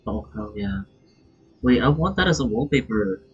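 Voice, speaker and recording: A man's voice: medium pitch, animated, moderate pace, slightly rough. A man live-streaming a video game, reacting casually in short exclamations. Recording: dull, muffled audio with game music. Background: game music.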